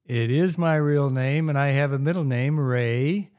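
An older man from Ohio, a guest telling stories in a plain-spoken, unhurried way with frequent pauses. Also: medium pitch, darkish tone, clear, resonant tone. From Ohio, USA. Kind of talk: casual interview chat.

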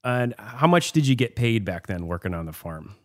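A younger man hosting a podcast, reading a clear scripted intro and then asking easy questions. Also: fairly low pitch, sing-song, moderate pace. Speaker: podcast host. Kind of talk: podcast interview.

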